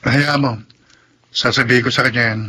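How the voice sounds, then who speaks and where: Husky, bright-voiced caring young-brother figure. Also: male voice, medium pitch, steady tone, brisk, rough recording. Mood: earnest, reassuring. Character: Darren, humble, grateful, caring older brother. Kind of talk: Tagalog radio drama acting.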